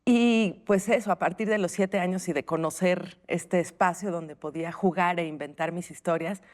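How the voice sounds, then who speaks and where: A woman's voice: fairly low pitch, normal speed, darkish tone. A woman with a Mexican accent answering interview questions in a reflective, informal, conversational way. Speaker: interview guest, playwright and stage creator.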